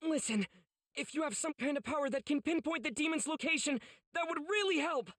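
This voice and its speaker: An actor voicing an earnest, kind-hearted teenage boy swordsman in an anime game, youthful and urgent, with a slightly husky voice. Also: high voice, lively intonation, fairly quick, fairly bright tone, no room sound, studio quality. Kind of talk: anime game cutscene acting.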